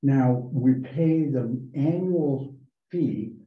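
A man reporting to a town board meeting, talking in a halting way with many fillers and restarts. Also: mid-range voice. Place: town hall meeting room. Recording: echoey, muffled meeting room recording.

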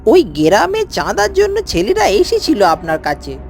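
A woman's voice: fairly high pitch, wildly swooping pitch, normal speed, gravelly, a little mellow, heavily acted. A woman voicing a fawning, sly male servant in a cartoon, with an obsequious, theatrical delivery. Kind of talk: exaggerated Bengali cartoon voice acting.

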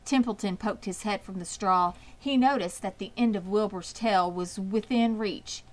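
A woman reading a children's storybook aloud as an expressive narrator. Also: medium pitch, normal speed, rough recording. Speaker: audiobook reader.

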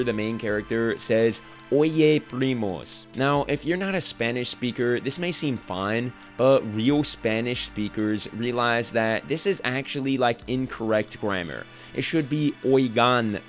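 A young man talks through a scripted commentary with a slightly hoarse, worn-down voice. His delivery is casual and loose, full of "like" fillers, at an ordinary middle pitch. Soft music plays faintly under him. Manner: casual, filler-heavy with frequent "like". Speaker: commentary YouTuber. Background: soft background music.

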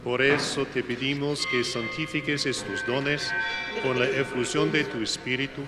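A man reciting the Mass prayers as a priest, in a slow, solemn liturgical manner. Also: mid-range voice, fairly even intonation, over loud music. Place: church. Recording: echoey church with noise.

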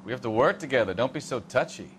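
An actor playing a cynical, needling American veteran traffic cop in a video game cutscene, sardonic and wisecracking. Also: male voice, mid-range voice, animated, fast talker, a bit bright, close to an everyday voice. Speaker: police detective.

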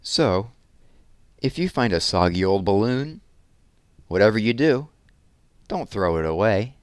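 A man's voice: fairly low pitch, sing-song, measured pace, dry recording. A man in his thirties reading children's picture books aloud expressively, with casual asides, on a headset mic indoors. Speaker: read-aloud storyteller. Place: small room at home, indoors.

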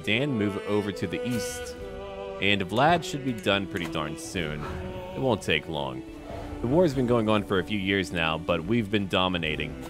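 Deep-voiced man doing animated game commentary. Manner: talks aloud to game characters. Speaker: gaming video host. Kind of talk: casual gameplay commentary.

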